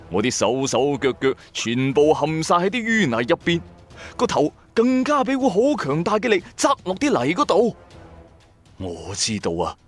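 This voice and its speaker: Guy narrating a spooky story, really animated pitch swings, colloquial and dramatic, music under him. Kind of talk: first-person supernatural horror storytelling.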